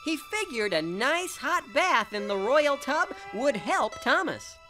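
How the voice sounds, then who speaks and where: A genial storybook narrator with a folksy, gentle manner. He reads a children's cartoon tale with clear music underneath. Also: very high-pitched, big pitch swings, takes it slowly, full character voice.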